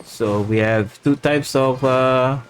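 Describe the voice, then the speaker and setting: A man's voice: mid-range voice, slow pace, faint music behind. A man giving casual live commentary as he plays a game, muttering his reactions to himself. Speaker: game streamer.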